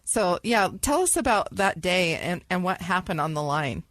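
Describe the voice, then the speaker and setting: A woman's voice: lowish voice, animated, moderate pace, a bit bright, dry recording. A woman co-hosting a podcast, upbeat and chatty as she talks with a guest. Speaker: podcast co-host. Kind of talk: casual interview talk.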